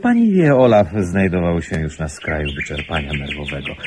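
An actor playing a jovial, flirtatious gallant secretary in a radio drama, effusive and teasing, over a noisy recording. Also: male voice, sits low, animated, normal speed.

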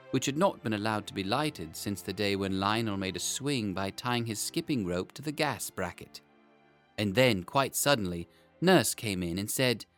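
A man reading a children's story aloud as an animated, scripted audiobook narration. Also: lowish voice. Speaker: audiobook reader.

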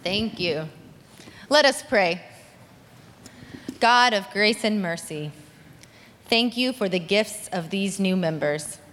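A woman pastor speaking in a measured, deliberate way as she leads liturgy and prayer in a church. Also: mid-range voice, animated, fairly bright tone, slight background noise. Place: large church sanctuary. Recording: long hall reverb.

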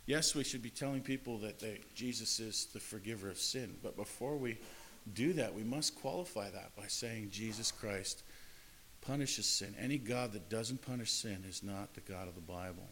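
A man's voice: on the low side, normal speed, a bit bright, slight background noise, low-quality recording. A man preaching a sermon in an earnest way, often repeating and restarting his words. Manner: earnest, repetitive phrasing with frequent restarts.

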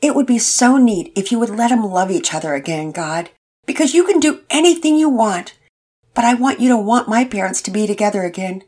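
A woman's voice: on the high side, expressive, brisk, darkish tone, pitched above the performer's own voice. A woman voicing an earnest, eager teenage boy who stammers when moved. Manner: enthusiastic, stammering.

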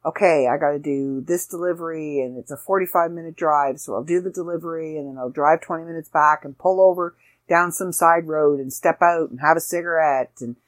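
A woman hosting a casual solo podcast, chatty and rambling with self-deprecating asides, her voice slightly raspy. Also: deep voice, fairly quick. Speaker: podcast host.

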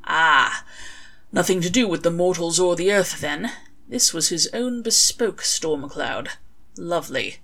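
A woman reads fiction aloud as a storyteller, her voice sitting a little on the low side. Her delivery is expressive and wry, clearly scripted narration. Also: measured pace. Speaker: author reading own fan fiction.

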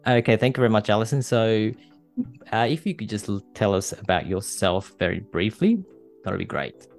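A man hosts a podcast interview, his questions hesitant and full of small fillers. His voice sits very low. Light background music runs quietly under his talk. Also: expressive, normal speed. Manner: hesitant, many ums and guesses. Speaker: podcast host. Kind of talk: interview hosting.

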